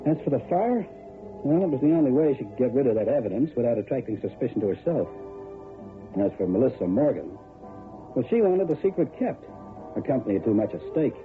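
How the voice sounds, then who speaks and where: A man's voice: mid-range voice, sing-song, quick pace, lightly acted. Man acting a wry private eye, tinny old radio. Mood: explanatory. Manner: wry, methodical, slangy. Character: tough, sardonic male private detective. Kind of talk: old-time radio detective drama acting. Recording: tinny old radio recording, music behind.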